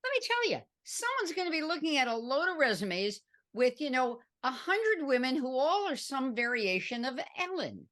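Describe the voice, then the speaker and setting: A woman co-hosts a theater podcast and jokes with her guest. Her voice is slightly high and very animated. She swings around a lot in pitch. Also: normal speed, hardly any pauses. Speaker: podcast co-host. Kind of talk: casual interview chat.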